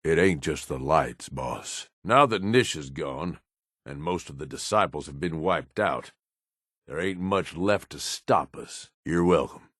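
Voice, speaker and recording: Deep-voiced, gruff raider schemer. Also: male voice, animated, measured pace, a bit bright, no room sound, clean studio sound, heavily acted. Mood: coaxing, confident, then sly, confident. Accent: American. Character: cunning, gruff raider right-hand man, blunt schemer. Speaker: companion character in a video game. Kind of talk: video game dialogue acting.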